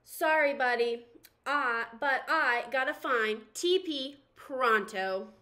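A woman's voice: high voice, takes it slowly, pushed and bright, heavily acted. A woman voicing a frantic toy race car in a storybook, urgent and insistent.

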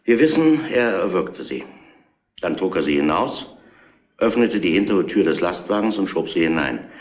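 Gruff, animated police inspector. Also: male voice, deep voice. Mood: grave. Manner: gruff, insistent, deliberate. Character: gruff, domineering male police inspector laying out a case. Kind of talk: retro German crime radio play acting. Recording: roomy retro radio play.

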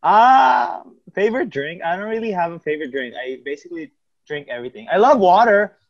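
A young man in his twenties from the Philippines talks on a home video call, chatty and quick to laugh, his voice sitting a bit higher than most men's. The webcam audio is slightly dull and lo-fi. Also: lively intonation. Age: 20s. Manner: chatty, laughs a lot, many "like" fillers. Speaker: channel co-host. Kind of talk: casual video-call chat. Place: home room, indoors.

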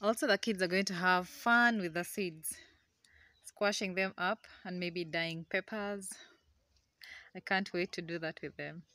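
A young Ugandan woman with a Ugandan accent, chatting excitedly to a phone camera in her outdoor garden. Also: lowish voice, measured pace, no room sound. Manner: excitable, chatty, laughs often. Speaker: gardening vlogger. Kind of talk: casual chat to camera. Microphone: phone camera held close.

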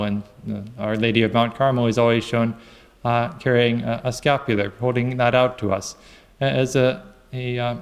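A man in his forties preaching a sermon, halting and repeating words with many "you know" fillers, speaking into a lectern microphone. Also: medium pitch. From England. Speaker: priest. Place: large church sanctuary. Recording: echoey church with long reverb.

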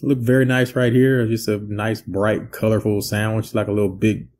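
Low-voiced man, relaxed rambling cooking host. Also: moderate pace, a little hiss or hum. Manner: relaxed, rambling, filler-heavy explaining. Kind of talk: casual cooking voice-over and taste test. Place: indoor home set with plain backdrop.

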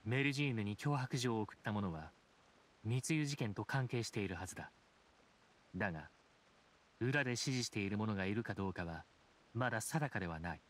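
A deep-voiced, solemn judge. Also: male voice, animated, a bit bright, slight background noise. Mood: grave, measured. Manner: formal, measured, reserved. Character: solemn, dignified supreme judge, an aloof grown man. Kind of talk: Japanese game voice acting.

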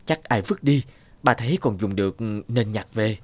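Gentle cartoon dad. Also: male voice, fairly low pitch, lively intonation, moderate pace, breathy edge, dry recording. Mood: kindly. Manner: plain, gently fatherly. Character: kind, hardworking working-class father. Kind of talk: animated cartoon dubbing.

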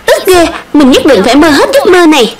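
A headstrong little boy from a cartoon dub, acted by a woman, speaks in a high, childlike voice. He sounds excitable, whiny and insistent. Music plays clearly behind the dialogue. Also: a little fast. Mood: stubborn, determined.

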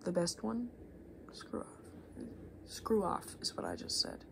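A woman in her twenties doing soft-spoken, rambling ASMR, unscripted and self-deprecating, sometimes slipping towards a whisper. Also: medium pitch, wildly swooping pitch, unhurried, gravelly. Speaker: ASMR video creator. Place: small living room at home, indoors. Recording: faint room noise on home recording.

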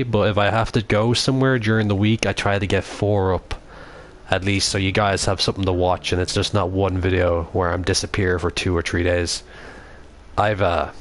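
A young Irish man from Dublin commentating his game live, rambling, swearing and answering chat. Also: fairly low pitch. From Ireland. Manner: rambling, swears often, reads chat aloud. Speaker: livestreaming gamer. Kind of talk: casual live commentary while playing.

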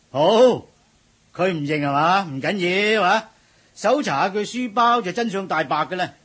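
An actor playing a loud, bossy middle-aged supermarket manager with a husky voice in a radio drama. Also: male voice, high voice, lively intonation, a little slow, heavily acted. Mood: explaining. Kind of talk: 1980s radio drama acting.

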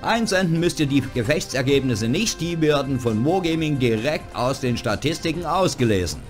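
A man's voice: mid-range voice, animated, moderate pace. A man hosting a gaming news show, reading a scripted voice-over with wry joking asides. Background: background music.

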